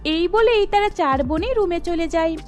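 A woman's voice: very high-pitched, animated, normal speed. A woman storyteller reading scripted narration for a cartoon, with music underneath.

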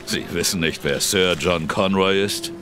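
A man playing a smug, menacing gentleman in a radio drama, cool and condescending. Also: deep voice, measured pace. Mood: smug, threatening. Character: smug, menacing gentleman bluffing past a policeman.

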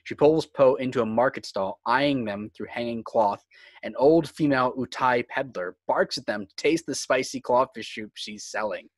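A man's voice: mid-range voice, moderate pace, no echo. Man narrating a screenplay, dry close sound. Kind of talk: scripted narration, reading screenplay stage directions.

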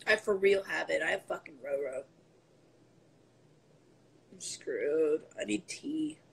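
A woman's voice: deep voice, takes it slowly, vocal fry, slight background noise, rough recording. A young woman in her twenties chatting casually on a phone livestream from home, offhand and profane, with a stuffy nose. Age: 20s. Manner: offhand, profane, laughing asides. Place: indoors at home, apartment room. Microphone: phone camera at arm's length.

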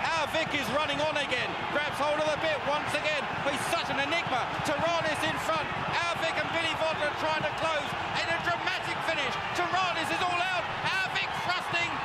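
A man giving live horse racing commentary, calling the runners, then summing up the finish. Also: high voice. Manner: builds from steady calling to rapid excited shouting. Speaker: race commentator. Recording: loud outdoor racecourse noise.

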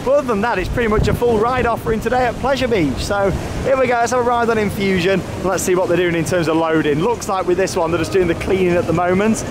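A man's voice: high voice, fast talker. Chatty young man vlogging outdoors. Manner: chatty, upbeat, many fillers. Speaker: vlog host. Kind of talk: casual theme park vlog chat. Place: outdoors at a windy amusement park. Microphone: camera held at arm's length. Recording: loud wind and ride noise outdoors.

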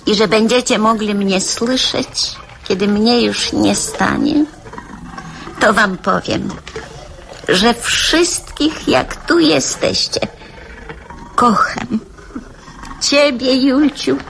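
Radio drama: devout old grandmother, old-fashioned and admonishing yet loving, measured slow delivery. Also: on the high side, fairly bright tone, a little hiss or hum, rough recording.

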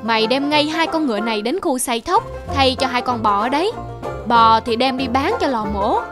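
A woman's voice: sits high, expressive, normal speed, music in the background. A woman voicing a stingy, bossy middle-aged rich landowner, grumbling and commanding, in a cartoon folk tale. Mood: harsh commanding.

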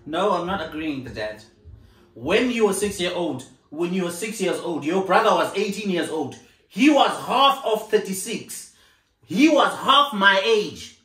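Man, 30s, slow and insistent, voice rising and falling a lot, echoey living room, camera mic. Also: high-pitched, expressive, fairly bright tone. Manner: insistent, repeats himself, laughs a lot. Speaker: co-host of a couple's channel. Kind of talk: casual argument on a couch. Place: living room, sitting on a sofa. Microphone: far camera.

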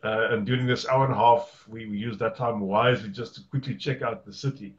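A man's voice: on the low side, fairly even intonation, brisk, highs cut off. A middle-aged man with a South African accent hosting a casual video call, stammering and repeating words. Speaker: host, insurance adviser.

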